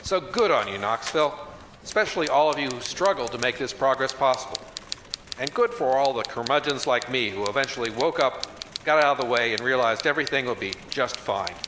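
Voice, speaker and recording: A man's voice: medium pitch, expressive, a little fast, noisy background, lightly acted. Man playing wry old-time newspaper reporter, echoey room. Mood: reflective. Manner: hard-boiled, slangy, wry. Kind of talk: old-time radio drama narration. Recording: roomy echo, faint music behind.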